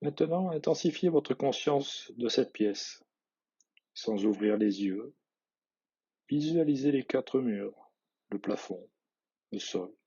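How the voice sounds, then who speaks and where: A man guiding a yoga nidra relaxation, reading measured instructions in a husky voice with soft phrase endings. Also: medium pitch, animated, dull audio. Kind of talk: guided relaxation meditation, read instructions.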